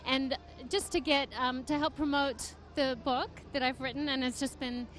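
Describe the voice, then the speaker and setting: High-voiced woman author, enthusiastic. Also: level tone, moderate pace, a bit bright. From United States. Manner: enthusiastic, hesitant with fillers. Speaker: guest author. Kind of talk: interview answers. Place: book fair venue.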